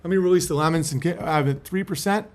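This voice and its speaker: A man's voice: a little high, quick pace. A man chatting casually in slangy, sweary language as a host, partly reading a letter aloud. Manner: laid-back slangy talk with frequent swearing.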